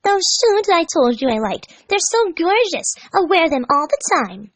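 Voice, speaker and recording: Woman putting on a high, gushing cartoon girl hedgehog. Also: big pitch swings, measured pace, no echo, full character voice. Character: bubbly, lovestruck, oblivious young female hedgehog.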